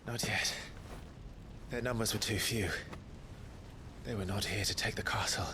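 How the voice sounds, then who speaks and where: A man's voice: lowish voice, steady tone, a little slow, noisy background. Grave young nobleman warrior. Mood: grim. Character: grim, dutiful young nobleman warrior, protective elder brother. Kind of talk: dramatic video game acting.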